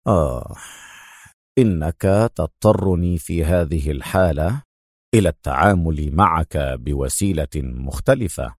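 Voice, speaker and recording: A man voicing a cold, mocking, stern male secret agent in an audio story, speaking with unhurried, sardonic menace. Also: extremely low pitch, warm tone, dry recording, studio quality, voice pushed down. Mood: calm, sardonic.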